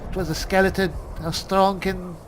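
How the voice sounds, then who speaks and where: A man's voice: sits high, little pitch movement, normal speed, background noise. A man doing in-character gameplay commentary, musing to himself in short remarks. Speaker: gamer narrating a roleplay playthrough. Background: music behind the voice.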